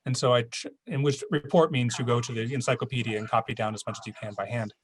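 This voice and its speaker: A man's voice: mid-range voice, steady tone, fast talker, a little hiss or hum. A man telling a personal story in a casual conversation, in a wry, anecdotal way. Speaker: translator, guest.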